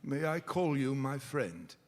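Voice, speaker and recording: A man's voice: medium pitch, very animated, measured pace. A man playing a reformed old miser in live radio theatre, stammering, exclaiming and full of joy and gratitude. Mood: tender, repentant. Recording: reverberant hall, live stage performance.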